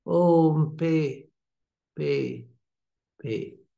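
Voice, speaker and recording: An American man, a native English speaker, reads a spiritual lecture in careful, hesitant French with many pauses. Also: fairly high pitch, gravelly, dark tone. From United States. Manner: halting, many hesitations while reading. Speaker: swami giving a lecture. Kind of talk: read-aloud spiritual lecture. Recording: roomy echo.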